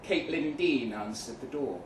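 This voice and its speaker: A man reading a first-person short story aloud dramatically, with measured delivery and deliberate pauses. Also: mid-range voice, animated. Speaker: short story reader at a live event. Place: event room in a pub. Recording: roomy, distant mic with faint noise.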